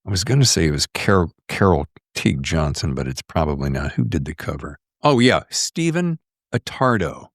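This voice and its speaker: A man from the US South hosting a podcast in casual interview chat, animated and rambling with folksy slang. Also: a bass voice, normal speed, no room sound, studio quality. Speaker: podcast host.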